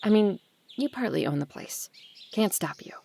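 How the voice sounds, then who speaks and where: Audio drama role: blunt, guarded rich man's daughter, dry delivery, very varied intonation, mid pitch. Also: lively intonation, moderate pace, creaky, mellow, a little hiss or hum. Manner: dry, curt, bossy with siblings. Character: guarded, blunt adult daughter of a wealthy family.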